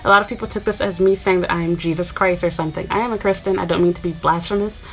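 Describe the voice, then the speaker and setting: A woman's voice: fairly low pitch, steady tone, fast talker. A woman in her twenties from Chicago, talking casually to her viewers in a rambling, chatty way with lots of fillers. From Chicago, USA. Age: 20s. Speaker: YouTuber. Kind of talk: casual talk to camera.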